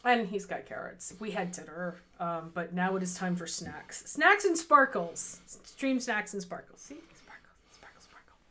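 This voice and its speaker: A woman's voice: fairly low pitch, expressive, normal speed, sharp tone. Woman in her 30s hosting a charity stream, chatty, kinda scatterbrained, headset mic at home. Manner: chatty, easily distracted, giggly. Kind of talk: casual livestream chat. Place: home room with fairy lights behind.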